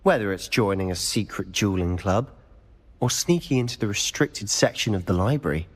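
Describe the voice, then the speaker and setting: A man's voice: lowish voice, long reverb tail, natural voice. A young man voicing a charming, rule-breaking teenage wizard student with a conspiratorial manner. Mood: sly. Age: young adult. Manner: charming, conspiratorial, quick-witted.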